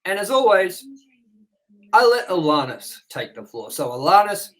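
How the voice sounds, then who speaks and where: A man with a fairly high speaking voice co-hosts a casual movie review. He rattles off box office numbers and then puts loose, chatty questions to his co-host, full of ums and restarts. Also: very animated, moderate pace, fairly bright tone, rough recording. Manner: reads figures, then prompts partner with questions.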